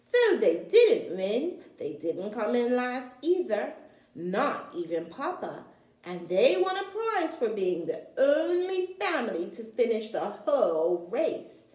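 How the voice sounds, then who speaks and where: Slow, animated woman reading a storybook. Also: fairly high pitch, roomy sound, rough recording. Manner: animated, deliberate storytelling for young children. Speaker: storytime reader, teacher-like. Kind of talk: expressive read-aloud of a children's picture book.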